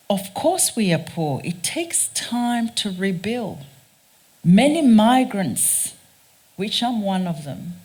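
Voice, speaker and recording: A woman from Ghana with a Ghanaian accent, giving a prepared public talk in an earnest, deliberate, emphatic manner. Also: mid-range voice. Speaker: guest speaker, race scholar. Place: speaking live at a theatre event.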